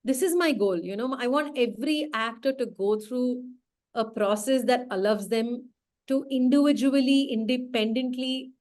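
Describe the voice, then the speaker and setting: A woman's voice: a little high, normal speed, darkish tone. A woman casting director from India, giving earnest, advising answers in a conversational interview. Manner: earnest, advising, thinks aloud. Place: indoor room.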